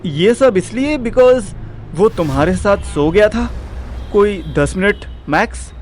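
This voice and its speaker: High-pitched excitable young Indian boyfriend. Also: male voice, takes it slowly, a little hiss or hum. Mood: suspicious. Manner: exclamatory, mixes English into Hindi. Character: excitable young boyfriend, jealous, hot-headed, teasing. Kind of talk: Hindi audio drama acting. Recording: music behind the voice.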